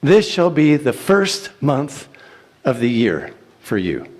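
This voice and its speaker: A man giving a solemn, declamatory dramatic reading of scripture in deliberate phrases. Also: on the high side. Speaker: church reader reciting scripture. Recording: echoey hall with long reverb.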